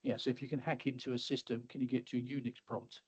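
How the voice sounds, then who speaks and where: A middle-aged man giving a webinar talk over slides, halting and full of fillers and restarts, his voice a little hoarse as he keeps clearing his throat. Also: medium pitch, little pitch movement, dry recording. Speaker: webinar presenter. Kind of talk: casual presentation with slides. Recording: slightly dull lo-fi audio.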